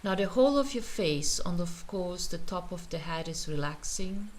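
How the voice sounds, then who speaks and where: A woman teaching meditation, guiding a relaxation in a gentle, soothing manner with pauses. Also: on the low side, expressive. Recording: noticeable ambient background noise.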